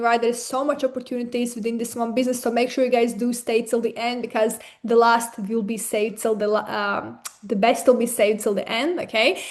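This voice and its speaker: A woman hosting an online webinar, upbeat and grateful as she introduces the next speaker. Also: fairly high pitch. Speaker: webinar host. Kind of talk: enthusiastic online presentation.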